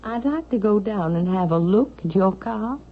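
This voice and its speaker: An actress playing an imperious, suspicious elderly widow with a haughty, deliberate, sharp-tongued delivery in a 1970s radio drama. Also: female voice, mid-range voice, animated. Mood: cold suspicion. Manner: haughty, deliberate, pointed questioning. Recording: dull, hissy vintage radio recording.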